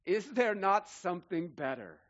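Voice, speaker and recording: A man's voice: high voice, animated, normal speed, fairly bright tone, muffled recording. A man in his forties, a pastor preaching an earnest sermon and building emphatic lists. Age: 40s.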